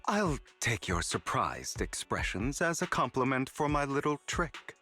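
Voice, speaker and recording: Suave scheming trickster for an adventure game, polished and theatrical, bright forceful tone, very expressive pitch. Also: male voice, soft background music, heavily acted. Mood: smooth, self-satisfied. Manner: polished, deliberate, theatrical delivery. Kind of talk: video game voice acting.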